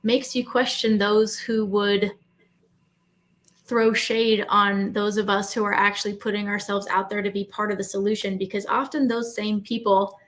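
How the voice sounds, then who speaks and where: A woman's voice: mid-range voice, little pitch movement, normal speed, fairly bright tone. A woman hosting a live online talk, speaking fluently in an earnest and emphatic way.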